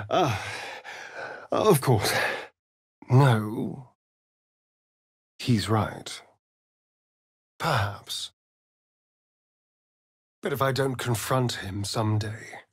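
Vain, flirtatious British vampire rogue for a fantasy game: slow, arch delivery with big swoops in pitch. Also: male voice, animated, fairly bright tone, husky, no echo, clean studio sound, heavily acted. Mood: resigned. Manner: arch, theatrical, drawling, mocking. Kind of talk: theatrical video game character acting.

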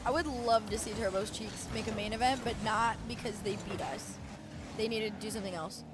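A woman in her twenties chatting casually on a live stream, reading and answering chat with excitable bursts. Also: mid-range voice, fairly quick, noisy background. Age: 20s. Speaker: esports co-streamer. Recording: game music under the voice. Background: game music and car sounds.

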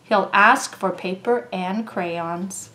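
A woman reading a children's story aloud in an expressive, deliberate way, drawing out words for young listeners. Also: mid-range voice, poor audio. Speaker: storybook reader.

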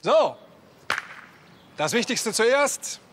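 A man's voice: very high-pitched, sing-song, drawn-out words, heavily acted. An actor playing a cheerful middle-aged male schoolteacher in a TV drama, announcing a contest to a group in a theatrical, instructive way. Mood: cheerfully announcing. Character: cheerful male schoolteacher staging a Roman chariot race. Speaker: teacher leading a school contest. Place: outdoor courtyard. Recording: outdoor courtyard with background noise.

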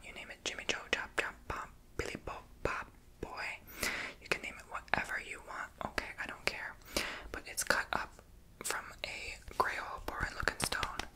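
A quirky, sassy saleswoman in a metaphysical crystal shop, played by a woman, speaks in a breathy whisper. Her voice sits low, and she rambles chattily in the style of an ASMR roleplay. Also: fairly bright tone. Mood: quirky, playful.

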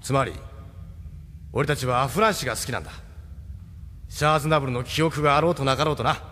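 A man's voice: medium pitch, quick pace, sharp tone, lightly acted. A man playing a wry, loyal ship captain in a Japanese audio drama, frank and familiar. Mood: reassuring. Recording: long hall reverb, faint noise.

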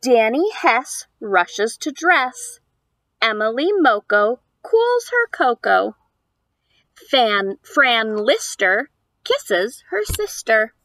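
Female preschool teacher, thirties, high and unhurried, sing-song delivery for small children, dry outdoor sound. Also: very animated, a bit bright, dry recording. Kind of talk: read-aloud for young children. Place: outdoors on a house porch.

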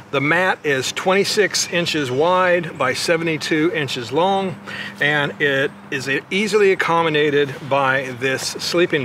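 A man's voice: fairly high pitch, sharp tone, slight background noise. Older man explaining camping gear outdoors. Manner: conversational, explains step by step with frequent "again" and "right". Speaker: motorcycle vlogger. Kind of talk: casual gear demonstration. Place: backyard lawn outdoors.

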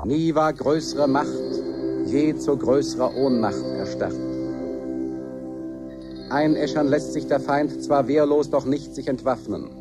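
An actor playing a solemn narrator of doom, declaiming elevated verse in a ceremonious manner in a 1970s radio play. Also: male voice, mid-range voice, unhurried, lightly acted. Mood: grave, declamatory. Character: solemn male chorus-like narrator of nuclear doom. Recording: 1970s radio production with music behind.

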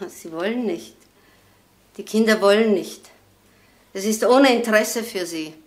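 An actress playing a brittle, evasive and stubborn wife under suspicion in a crime drama, hesitant and repeating herself. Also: female voice, medium pitch, unhurried, lightly acted. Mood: guarded, bitter. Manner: hesitant, repetitive, insistent. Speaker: actress as murder suspect.

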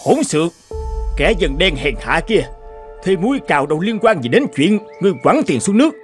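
A man's voice: sits high, very animated, moderate pace. An actor playing an imperious, bullying cartoon king who scolds and threatens haughtily. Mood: angry. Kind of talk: dubbed cartoon fairy tale acting. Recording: music behind the voice.

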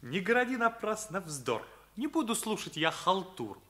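High-voiced cocky schoolboy ringleader. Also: male voice, big pitch swings, moderate pace, pushed and bright, heavily acted. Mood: playful, declaiming. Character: cocky, witty teenage schoolboy ringleader Sergei. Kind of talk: Soviet radio play acting.

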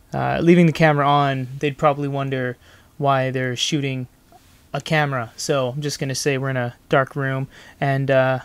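A man's voice: medium pitch, clear, resonant tone, no echo. A man chatting casually and in an easygoing way as he demonstrates a product as video host.

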